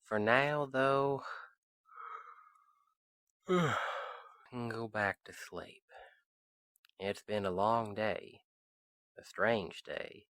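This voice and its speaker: Man playing a slow-talking, bright-voiced friendly cowboy sheriff. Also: lowish voice, steady tone, dry recording, heavily acted. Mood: gentle, relaxed. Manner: folksy drawled western phrasing, unhurried. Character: friendly, protective cowboy sheriff of the Old West. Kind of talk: roleplay audio drama, speaking softly to the listener.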